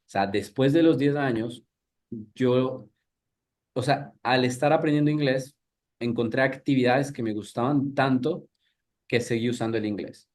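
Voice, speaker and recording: A man in his thirties, originally from Ecuador, leads an English-learning workshop. He talks loosely and conversationally, restarting sentences and pausing on fillers, and often turns to the audience with questions. His pitch sits in an ordinary middle range for a man. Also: darkish tone. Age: 30s. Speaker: English teacher. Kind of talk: live workshop talk.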